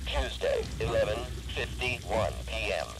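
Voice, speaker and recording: A man's voice: fairly low pitch, unhurried. A male voice playing a mechanical talking alarm clock in a radio drama, announcing impassively in clipped phrases. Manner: flat, clipped announcing of the time. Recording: faintly noisy intercom announcement.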